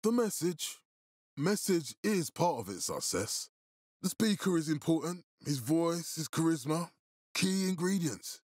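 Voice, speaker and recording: An actor playing a stern, middle-aged military leader in a post-apocalyptic video game, speaking with formal, deliberate authority in a husky, gravelly voice. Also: male voice, fairly high pitch, big pitch swings, a little mellow, no echo, clean studio sound, heavily acted. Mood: grandiose. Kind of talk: video game character dialogue.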